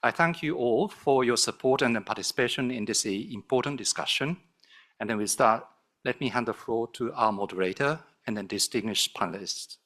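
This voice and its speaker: A man's voice: mid-range voice, normal speed, a bit bright. A man delivering formal, scripted opening remarks at a conference before handing the floor to the moderator. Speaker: opening speaker.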